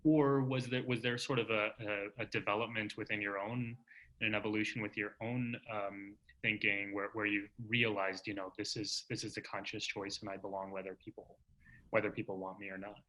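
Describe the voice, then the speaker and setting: Bright, lowish-voiced American male interviewer. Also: level tone, normal speed, low-quality recording. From Texas, United States. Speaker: interviewer, show host. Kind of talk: casual reflective interview conversation.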